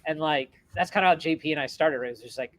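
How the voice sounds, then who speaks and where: Quick, upbeat man with a higher voice. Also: fairly bright tone. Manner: filler-heavy, enthusiastic. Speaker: co-host. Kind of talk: casual interview chat.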